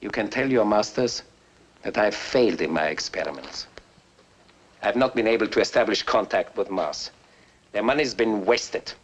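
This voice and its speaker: An actor playing a proud, bitter fugitive scientist with a scornful, theatrical manner, in the style of a 1950s film. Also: male voice, lowish voice, normal speed, lightly acted. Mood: bitter, brooding. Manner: theatrical, scornful, self-important. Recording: noisy old 1950s film soundtrack.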